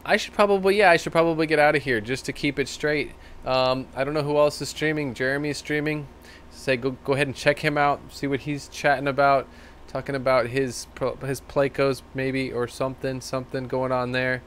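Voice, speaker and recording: A man with a slightly rough, tired voice, chatting loosely as he hosts a live stream. His voice sits at a middling pitch, with a faint hiss of background noise under it. He rambles easily and thanks viewers one by one. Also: fairly bright tone. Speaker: livestream host. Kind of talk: casual live-stream chat reading comments.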